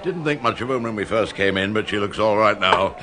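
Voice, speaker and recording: A man's voice: lowish voice, expressive, fairly quick, a crowd in the background, natural voice. An actor in a radio play as a scheming, chummy English bookkeeper in his sixties, with blustering, old-fashioned clubby speech. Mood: tipsy, jovial. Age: 60s.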